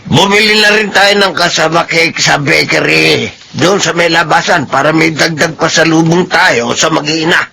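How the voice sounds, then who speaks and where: Gravelly old Filipino grandfather. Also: fairly high pitch, normal speed, noisy background. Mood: agreeable. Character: kindly, conciliatory old grandfather. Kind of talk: Tagalog radio drama acting.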